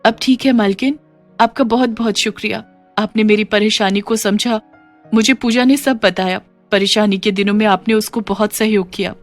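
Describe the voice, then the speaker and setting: A woman voicing a poor, anxious, humble housemaid and mother in an animated story, speaking respectfully. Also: mid-range voice, moderate pace, faint music behind, natural voice. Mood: grateful.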